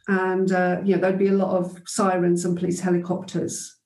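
A woman's voice: lowish voice, completely flat delivery, normal speed, a little mellow, roomy sound. A woman guest in an interview, reflecting thoughtfully on her teaching days, with frequent ums and restarts. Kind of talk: reflective conversational storytelling.

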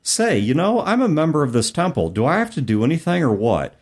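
A man co-hosting a podcast in a casual, explanatory way, animated and expressive as he talks and reads aloud. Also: a little high, fairly quick. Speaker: podcast co-host. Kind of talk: casual chat about tabletop gaming, reading passages aloud.

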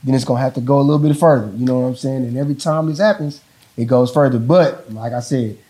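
A man with a deep, mellow voice talks easygoing and loose, circling back to his point often. His delivery is calm and conversational, like a dad explaining how he raises his kids. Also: fast talker. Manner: relaxed, rambling, repeats "you know what I'm saying". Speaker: father in a couple interview. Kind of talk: casual talk about parenting.

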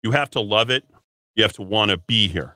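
A male radio host talks straight into a dry, close studio mic with a forceful, bright edge to his fairly low voice. He rambles and restarts his sentences as he argues, and he sniffles from a runny nose. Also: brisk, no echo. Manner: combative, rambling, repeats words and restarts. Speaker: radio talk show host. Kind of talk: solo talk radio monologue.

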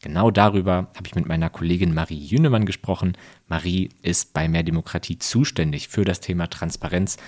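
A man hosting a podcast who thanks guests, then explains in a calm, orderly way before introducing the next interview. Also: low-pitched. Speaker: podcast host. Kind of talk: interviewing and scripted narration.

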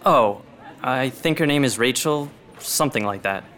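A man's voice: medium pitch, animated, normal speed, fairly bright tone, natural voice. A man playing a guarded but easygoing teenage prep school boy in a radio drama, speaking in an understated, hesitant way. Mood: casual.

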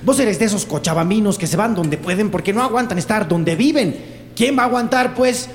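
A man's voice: sits high, fairly quick, natural voice. An actor playing a jittery, accusing henchman in a radio drama, rambling and repeating himself aggressively with a Bolivian accent. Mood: mocking, suspicious. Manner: rambling, repetitive, aggressive interrogation. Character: jittery, accusing male henchman of a crooked butcher. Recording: long reverb, background noise and ambience.

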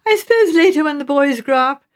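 A woman's voice: high voice, animated, fairly quick, pitched above the performer's own voice. A woman voicing an affected, self-absorbed middle-aged English mother making languid, condescending small talk in an audiobook. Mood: amused, dismissive.

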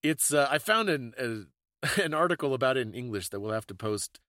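A man hosts a podcast in an easygoing, explanatory style. His voice sits at an average male pitch and is recorded dry, with very little room sound. He talks through his points thoughtfully, as in a conversation. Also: expressive, normal speed, fairly bright tone, no echo. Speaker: podcast host.

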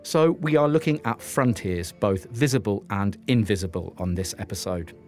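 A man hosting a podcast, reading scripted narration in a measured way, then interviewing a guest. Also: fairly low pitch, faint music behind. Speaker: podcast host.

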